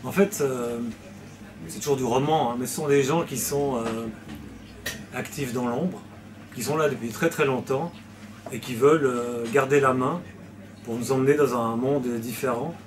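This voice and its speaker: A man's voice: mid-range voice, moderate pace, background noise. A man from Switzerland, a novelist answering interview questions in a hesitant way, with many fillers and restarts.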